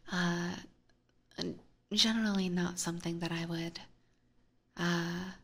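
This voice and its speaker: A woman hosting an ASMR livestream, chatting with viewers in a soft, breathy whisper close to a binaural microphone. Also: lowish voice, fairly even intonation, slow pace. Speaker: livestream host.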